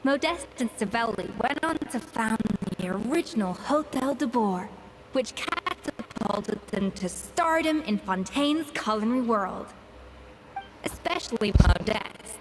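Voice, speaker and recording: A proud, exacting chef from a video game. She speaks slowly and formally in a fairly high voice, with blunt precision, over clear background noise. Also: animated. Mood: reminiscing. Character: proud, exacting, candid female gourmet chef. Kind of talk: video game voice acting, storytelling.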